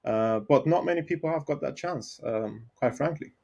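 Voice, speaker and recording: A man from Iran, a tech founder, talking through his story in a podcast interview, pausing often with ums and restarts. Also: medium pitch, vocal fry, a little mellow. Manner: hesitant, many ums and restarts.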